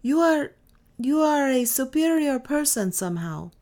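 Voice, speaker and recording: A woman voicing a weary, kind mother in a storybook, speaking gently and wonderingly. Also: high voice, big pitch swings, unhurried, voice pushed up. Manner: gentle, wondering, soft-spoken. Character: tired, kind, puzzled poor mother.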